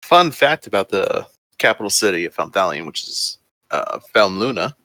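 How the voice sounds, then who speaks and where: A man's voice: low-pitched, big pitch swings, normal speed, sharp tone, no room sound. A man running a tabletop game as game master, explaining the world in a loose, filler-heavy way with casual swearing.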